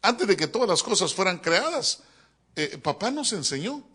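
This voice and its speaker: Lively, bright-voiced male pastor preaching. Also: high-pitched, normal speed, husky. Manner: animated, joking, keeps calling listeners "hermano". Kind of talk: sermon. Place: church.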